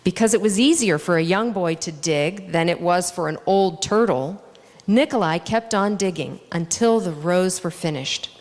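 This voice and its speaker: A woman reading a children's story aloud to an all-ages congregation, deliberately and expressively, dramatizing the characters in an echoey hall. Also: lowish voice, animated, darkish tone. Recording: echoey hall with long reverb.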